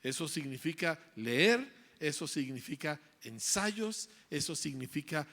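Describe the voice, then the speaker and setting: A man speaks from the front of a hall, lecturing parents and teachers in an emphatic, driving way. He repeats his key phrases and checks in with his listeners through tag questions. His voice sits somewhat high for a man. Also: big pitch swings, a little slow, vocal fry, pushed and bright. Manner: emphatic, repetitive rhetorical lecturing with frequent "¿verdad?".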